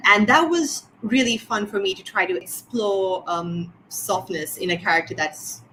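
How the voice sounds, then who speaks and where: Sri woman, author guest on a video call; reflective, mid-pitched, stops and restarts as she thinks. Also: measured pace, bright, forceful tone, slight background noise, poor audio. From Sri Lanka. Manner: thoughtful, many fillers and restarts. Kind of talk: casual interview answers.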